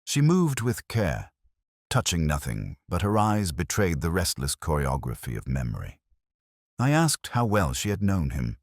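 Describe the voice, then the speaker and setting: A man narrating a scripted first-person detective story in a measured, literary, reflective manner. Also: sits low, wildly swooping pitch, no echo, clean studio sound.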